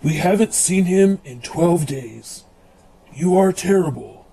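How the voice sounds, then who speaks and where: Man playing a high-pitched, stern letter-reading reviewer. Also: animated, creaky, mellow, heavily acted, rough recording. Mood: exasperated, stern. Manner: deliberate, formal, cutting. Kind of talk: comic radio theatre sketch.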